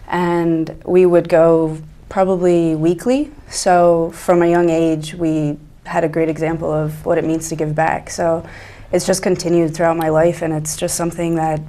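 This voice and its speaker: A woman's voice: sits low, level tone, moderate pace, mellow. A woman in her twenties from Egypt, talking earnestly and enthusiastically as a guest in a casual talk show conversation. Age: 20s.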